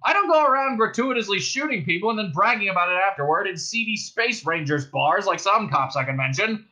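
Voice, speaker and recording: A man's voice: sits high, sing-song, quick pace, pitched above the performer's own voice, heavily acted. A man voicing a self-pitying, gun-happy space cop in a comic sci-fi audiobook, calling out in an earnest, indignant way. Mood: indignant, aggrieved. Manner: shouting through a bullhorn, earnest and indignant.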